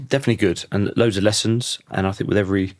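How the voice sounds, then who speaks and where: Casting: croaky man in his thirties, low voice, thoughtful and halting, dry close-miked sound. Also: fairly even intonation, no echo. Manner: thoughtful, restarts sentences often. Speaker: podcast guest. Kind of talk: reflective conversational interview.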